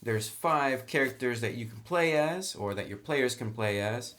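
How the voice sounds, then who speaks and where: A man talks through the contents of a tabletop game box in a relaxed, plainspoken review, speaking at an ordinary middle pitch. He is recorded indoors by a camera on a tripod. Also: a little slow. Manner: relaxed, plainspoken, says "actually" often. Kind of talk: casual product review, unboxing. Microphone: camera mic on a tripod.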